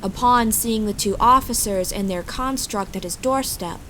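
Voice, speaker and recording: A woman's voice: a little high, moderate pace, slight background noise, low-quality recording. A woman reading scripted fantasy story narration as the narrator. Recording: steady rain and storm ambience behind.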